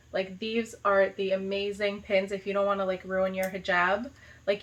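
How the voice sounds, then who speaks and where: A young woman from the United States excitedly unboxing a gift box in a casual vlog, gushing and dropping lots of "like". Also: medium pitch, poor audio. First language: not Arabic. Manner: gushing, enthusiastic, lots of "like" and "so cute". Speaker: vlogger, high school teacher.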